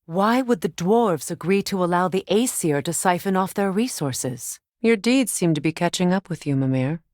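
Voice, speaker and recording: An actress voicing a stern, wise warrior goddess in a video game, speaking with measured, formal diction. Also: female voice, lowish voice, animated, a little mellow, dry recording, clean studio sound, natural voice. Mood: grave, probing. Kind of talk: dramatic video game voice acting.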